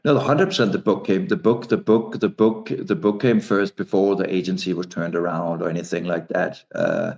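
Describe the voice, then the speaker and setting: A Danish man in his forties talks through his story as an interview guest. His voice sits low and gravelly. He restarts and repeats words while he gathers his thoughts. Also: fairly even intonation, normal speed, few pauses, a little mellow. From Denmark. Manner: hesitant, repeats words as he thinks. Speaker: guest, author. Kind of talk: conversational interview.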